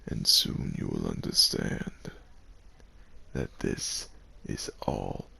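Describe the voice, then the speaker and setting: A very deep, gravelly tyrant dragon. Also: male voice, animated, pushed and bright, heavily acted. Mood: taunting menace. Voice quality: gravelly, husky. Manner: slow, menacing, theatrical. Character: tyrannical villain dragon, huge male, menacing and gloating. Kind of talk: dramatic audio roleplay acting.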